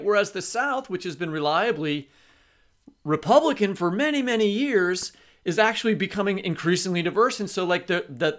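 A man's voice: high voice, a bit bright. A man speaking as a podcast co-host, introducing and questioning in a discursive way, correcting himself as he goes.

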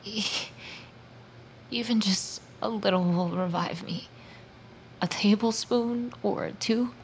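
A woman's voice: medium pitch, takes it slowly, slight background noise. An actress playing a starving, conscience-stricken female vampire in an audio drama, weak, faltering and pleading. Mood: weak, anguished. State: weak, unwell.

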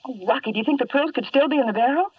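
An American actress playing an anxious but plucky young woman, fretful and expressive, in a 1950s radio drama. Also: high voice, very fast, husky. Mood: worried, determined. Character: anxious, plucky young working woman, the hero's loyal date. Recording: dull, distant 1950s radio recording.

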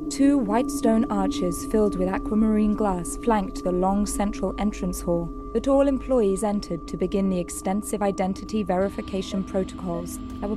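A woman with a mid-pitched voice narrates a scripted fiction story. Her delivery is measured and descriptive, with music clearly present behind her. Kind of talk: scripted audio drama narration.

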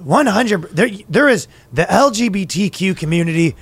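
A man's voice: high voice, expressive, normal speed, husky. A man hosting a comedy podcast, riffing crude jokes in casual chat. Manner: crude, joking, riffing into bits.

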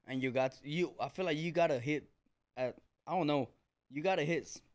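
A man in his twenties chatting casually as a podcast guest, earnest and slangy, often repeating himself as he gathers his thoughts. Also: fairly high pitch. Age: 20s. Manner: earnest, halting and repetitive, slangy. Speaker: podcast guest, small business owner. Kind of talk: casual chat, answering interview questions. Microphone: podcast mic.

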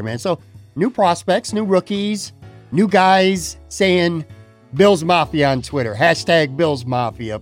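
Chatty fifty-year-old male podcast host. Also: on the high side. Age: 50s. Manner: chatty, rambling, friendly, says "man" and "brother" a lot. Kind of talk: casual chat.

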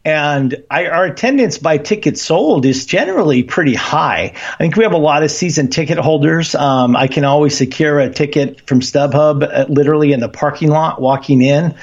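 Animated guest fan, man, fairly high voice, halting delivery, muffled lo-fi line. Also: husky. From Southern California. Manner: animated, halting with many fillers. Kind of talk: casual podcast chat. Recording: dull lo-fi line.

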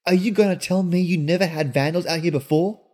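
A man's voice: sits high, fast talker, darkish tone, voice pushed up. A man reading aloud and voicing an anxious young woman stranded at a roadside inn, pressing with questions. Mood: anxious, demanding.

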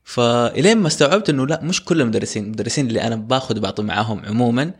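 A young man, co-hosting a casual podcast and telling personal stories in a lively way. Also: medium pitch, rapid-fire, breathy edge. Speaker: podcast co-host.

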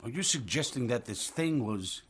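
A man's voice: medium pitch, lively intonation, moderate pace, fairly bright tone, slight background noise, lightly acted. An actor playing a skeptical male lawyer interrogating a witness in a radio drama, probing and incredulous.

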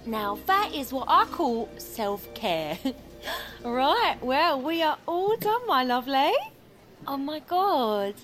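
A British woman playing a chatty, bossy hairdresser in an audio comedy drama, gushing, familiar and brisk. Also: high-pitched, big pitch swings, fairly bright tone, soft background music, exaggerated voice.

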